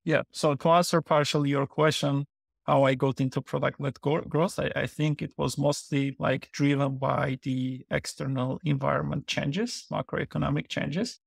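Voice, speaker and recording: A man, a podcast guest who answers in measured, short phrases. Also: a little high, fairly even intonation, gravelly, husky, no echo, clean studio sound. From Armenia. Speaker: guest, product lead. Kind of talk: podcast interview.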